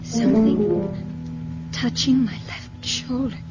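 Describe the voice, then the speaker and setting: A woman's voice: on the high side, fairly even intonation, takes it slowly, loud music behind the voice. An actress playing a frightened but loyal young woman in a 1950s radio drama, with an anxious, dramatic delivery. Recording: dull 1950s radio broadcast recording.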